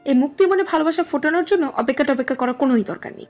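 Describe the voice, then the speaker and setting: A woman's voice: high-pitched, rapid-fire, darkish tone, natural voice. A woman playing a touchy, teasing young sister in a dramatized audio love story. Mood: insistent, confiding. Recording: music under the voice.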